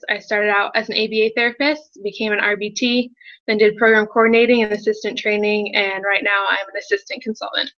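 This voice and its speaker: Bright-voiced young woman, dull lo-fi recording. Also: mid-range voice. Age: 20s. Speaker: student presenter. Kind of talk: self-introduction in a group presentation. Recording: dull lo-fi sound.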